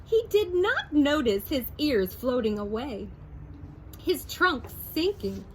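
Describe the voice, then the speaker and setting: A woman teacher reading a picture book aloud to her first graders, in a slow, expressive storytelling voice. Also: high-pitched, a little hiss or hum, low-quality recording.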